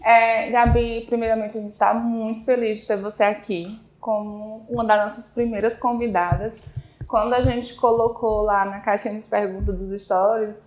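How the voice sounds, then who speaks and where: A woman's voice: a little high, steady tone, normal speed, a little mellow, muffled recording. A woman hosting a casual podcast, asking her guest friendly questions. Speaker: podcast host. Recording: echoey room.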